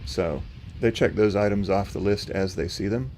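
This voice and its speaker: A man hosting a live stream, answering chat questions in a calm, level, unhurried way with a slightly rough voice. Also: fairly low pitch, slight background noise. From not from North Carolina. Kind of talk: casual livestream commentary answering chat. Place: home.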